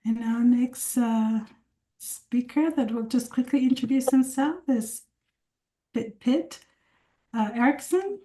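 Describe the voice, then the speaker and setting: A woman moderating a webinar over a video call, introducing each speaker gently and with hesitant fillers. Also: fairly high pitch, slow pace.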